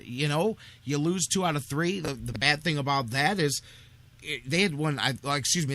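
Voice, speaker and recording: An American man hosting a sports radio show in an energetic, casual, rambling style full of slang. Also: fairly high pitch. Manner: informal, rambling, peppered with slangy address. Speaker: sports talk radio host.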